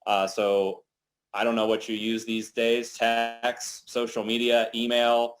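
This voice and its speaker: A man's voice: mid-range voice, monotone, takes it slowly, music in the background. A man hosting a company team call, talking casually and upbeat, with lots of "you know" and "like".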